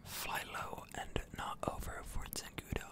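A game streamer speaking in a breathy, unvoiced whisper, giving soothing ASMR commentary with drawn-out words. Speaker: video game streamer and channel host.

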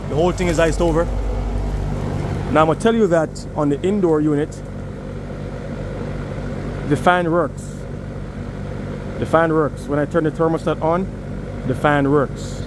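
A man's voice: a little high, measured pace, long pauses, noisy background. A man with a New York accent, an HVAC technician, walks viewers through a service call in a casual, step-by-step way while filming outside a house. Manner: casual, explains step by step and addresses viewers directly. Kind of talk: on-the-job video walkthrough. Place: outdoors beside a house at an air conditioner unit.

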